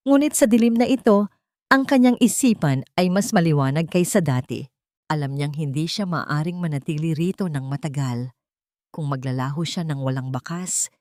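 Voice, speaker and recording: A woman's voice: low voice, mellow, no room sound, clean studio sound. A woman reading a dramatic scripted story as an audiobook narrator, with solemn, suspenseful storytelling.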